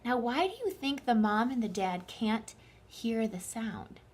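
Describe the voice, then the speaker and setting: A woman reading a children's story aloud with dramatic, deliberate phrasing and questions put to her listeners. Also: on the high side, slight background noise. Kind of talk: expressive children's read-aloud with asides to the listeners.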